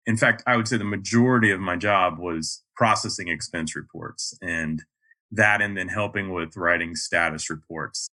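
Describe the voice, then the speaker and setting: A man in his fifties from North Carolina with a neutral mid-Atlantic American accent, speaking as a podcast guest in a measured way, with frequent ums. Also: fairly low pitch, pushed and bright. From North Carolina, USA. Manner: measured, many ums and uhs. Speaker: podcast guest, project manager. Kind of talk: casual interview talk.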